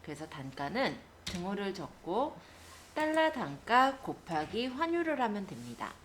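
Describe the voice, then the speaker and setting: A woman teacher giving a step-by-step software tutorial, explaining politely to learners. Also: medium pitch, big pitch swings, measured pace, slight background noise.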